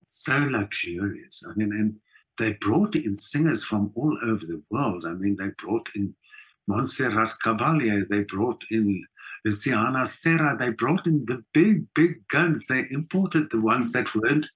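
A middle-aged South African man with a husky voice, talking reflectively in an interview, emphatic, with halting repeats and pauses. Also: fairly low pitch, a bit bright, rough recording. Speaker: actor and singer being interviewed.

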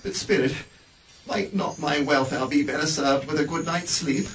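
An actor playing a bitter, miserly old man in a radio play, speaking in a halting, stammering way. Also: on the high side, fairly bright tone, recorded from a distance, soft background music. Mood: wondering.

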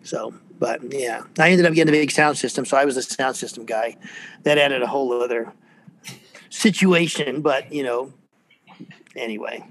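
A man with a squeaky voice, a freelance percussionist chatting casually and rambling in an interview. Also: moderate pace, a bit bright, a little hiss or hum, poor audio. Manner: rambling, self-deprecating, chuckles often.

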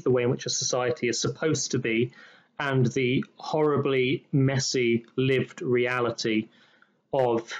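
Measured rabbi, slightly dull sound. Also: male voice, mid-range voice. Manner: measured, thoughtful, slowing on key phrases. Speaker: rabbi, podcast co-host. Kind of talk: reflective conversational discussion.

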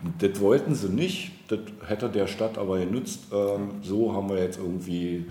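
A man speaking as a politician in a casual interview, halting as he searches for words. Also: fairly low pitch, warm tone. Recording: roomy echo, clear background noise.

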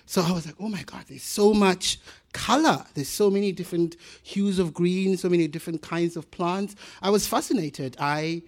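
A South African man in his thirties, a plant biologist telling a playful, animated story in a talk. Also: high-pitched, moderate pace. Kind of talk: storytelling presentation.

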